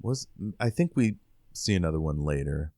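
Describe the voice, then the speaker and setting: A man co-hosting a podcast, casually recapping and discussing a book in a halting way. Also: rumbling low, animated, a little mellow, dry recording, studio quality. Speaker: podcast co-host.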